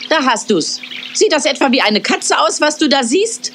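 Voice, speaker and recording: A woman's voice: high-pitched, sing-song, moderate pace, background noise. A woman voicing a grumpy, scornful adult female cat in a cartoon dub, snapping and scolding.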